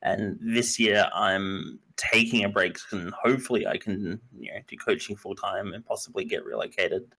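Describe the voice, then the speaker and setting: Hesitant young man, low voice. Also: moderate pace, creaky, few pauses, pushed and bright, rough recording. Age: 20s. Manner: hesitant, many fillers like "um" and "like". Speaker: esports coach. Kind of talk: casual interview answers.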